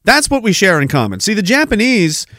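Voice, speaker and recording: Sarcastic Canadian podcast host, higher voice. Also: male voice, huge pitch swings, brisk, bright, forceful tone, dry recording, clean studio sound. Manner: sarcastic, rhetorical questions. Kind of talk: ranting commentary over a played clip.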